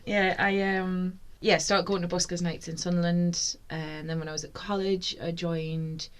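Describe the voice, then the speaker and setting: A woman from North East England talks in a very low, husky voice that sits deep in her register. She chats easily and laughs often, a singer-songwriter guest in a podcast studio. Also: little pitch movement, normal speed. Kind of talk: casual interview chat.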